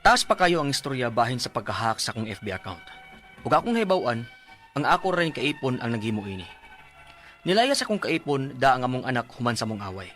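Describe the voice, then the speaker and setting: A man's voice: mid-range voice, very animated, brisk, a bit bright, soft background music. An actor playing an anxious, humble young father in a radio drama, speaking hesitantly and plaintively with a Cebuano Filipino accent. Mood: troubled, earnest. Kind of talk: radio drama acting and letter narration.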